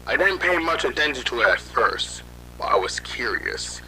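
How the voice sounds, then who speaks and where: A man playing a guilt-ridden scientist in hiding, telling his story haltingly in an amateur horror audio drama. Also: mid-range voice, sing-song, bright, forceful tone, a little hiss or hum, poor audio. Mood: wistful. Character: guilt-ridden fugitive scientist father recounting his failed experiment.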